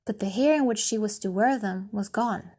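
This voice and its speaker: A woman reading scripted story narration in a careful, deliberate manner. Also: medium pitch, a little mellow. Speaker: audiobook reader.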